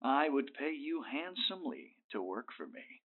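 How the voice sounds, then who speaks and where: A man playing a scheming, smooth-talking older tycoon in a comedic audio drama, with an unctuous, drawn-out emphatic delivery. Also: medium pitch, big pitch swings. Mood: smooth, persuasive. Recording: thin, phone-like sound.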